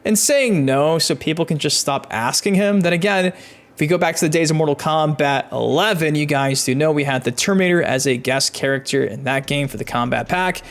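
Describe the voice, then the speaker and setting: A man's voice: on the high side, a little fast. A man hosting gaming news commentary, chatty and emphatic, talking directly to his viewers. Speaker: YouTube gaming news host. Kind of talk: energetic video commentary, reading articles aloud.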